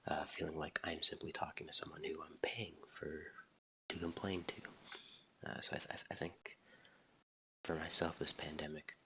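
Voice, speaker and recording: A young man in his late teens talking softly, almost whispering, with a halting way of repeating words, recorded close to the mic in his dorm room. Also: extremely low pitch, gravelly, muffled recording. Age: young adult. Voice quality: soft, near-whispering voice. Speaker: vlogger. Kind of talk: soft-spoken personal vlog. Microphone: close mic, wet mouth sounds.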